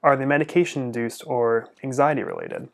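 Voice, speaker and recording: A man's voice: mid-range voice, animated, a little fast, creaky. A young man, a speech-language pathologist, answering viewer questions in a casual vlog, earnest and open, stuttering often with repeated sounds and blocks.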